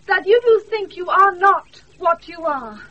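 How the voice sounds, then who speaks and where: A woman's voice: very high-pitched, expressive, unhurried. An actress playing an earnest young woman disguised as a youth, formal, in a classical Shakespearean radio style. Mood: troubled. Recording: muffled off-air radio recording.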